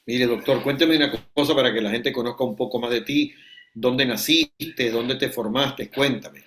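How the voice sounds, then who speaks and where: A man's voice: mid-range voice, normal speed, a bit bright. A man hosting an interview in a conversational, informal way, speaking directly to guest and audience. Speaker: host.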